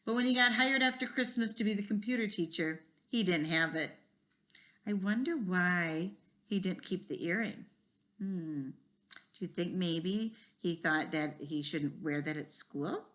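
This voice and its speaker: A woman reading a children's story aloud like a teacher, expressively, pausing to wonder aloud with her listeners. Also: on the low side. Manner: animated, pauses to pose questions to listeners.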